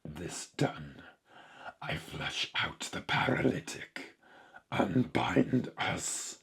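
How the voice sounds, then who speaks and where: A sinister alien parasite speaks through a corpse. The voice is an extremely husky, whispering croak full of gravel. It moves slowly in clipped, halting phrases, gloating coldly over each word. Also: male voice, extremely low pitch, very animated, a bit bright, voice pushed down, full character voice. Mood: clinical, sinister. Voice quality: hoarse raspy croaking whisper. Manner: halting, clipped telegraphic speech, savouring words.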